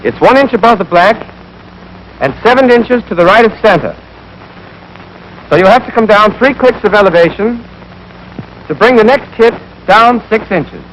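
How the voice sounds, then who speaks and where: Young american guy teaching like an army instructor, highish voice, clipped scripted steps, bit of old 40s film noise. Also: lively intonation, normal speed, pauses often, fairly bright tone, muffled recording. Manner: clipped, step-by-step instructive delivery. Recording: noisy 1940s film soundtrack.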